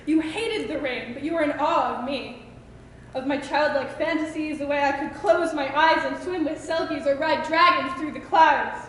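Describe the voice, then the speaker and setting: A teenage woman reciting slam poetry with dramatic, deliberate phrasing in an echoing hall. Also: sits high, a bit bright. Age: teens. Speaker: student poet. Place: school open mic performance in a reverberant room. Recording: echoey hall with faint noise.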